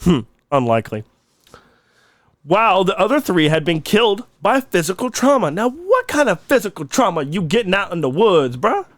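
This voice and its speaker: A man's voice: sits high, wildly swooping pitch, normal speed, no echo, clean studio sound. A man in his thirties co-hosting a comedy podcast in a hammy put-on mock Russian accent, dropping little words as he jokes. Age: 30s. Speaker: podcast co-host.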